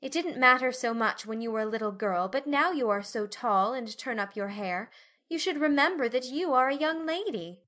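A woman's voice: a little high, normal speed, fairly bright tone, voice pushed up, natural voice. A woman voicing a prim, motherly teenage eldest sister in an audiobook story, genteel and reproving in manner. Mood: lecturing. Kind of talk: audiobook character acting.

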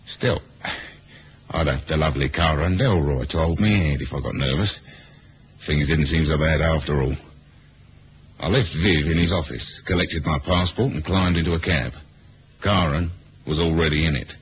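A male actor playing a laconic, womanizing British spy in a 1970s radio drama, deadpan and casual with a British accent. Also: a bass voice, normal speed, slightly rough, full character voice. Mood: wry. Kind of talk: 1970s radio drama, first-person spy narration and dialogue. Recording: dull lo-fi old radio recording, hiss.

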